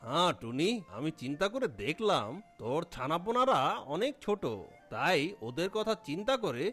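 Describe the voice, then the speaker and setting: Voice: medium pitch, very animated, normal speed, a bit bright, soft background music. An actor playing a jolly, kindly eagle healer in a children's cartoon, with a hearty, boastful, elder-like way of talking. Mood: assuring, proud. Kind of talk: Bengali children's cartoon voice acting.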